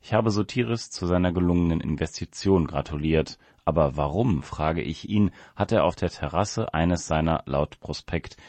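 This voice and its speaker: A man's voice: rumbling low, a little mellow, no echo. A man reading a written essay aloud as scripted narration.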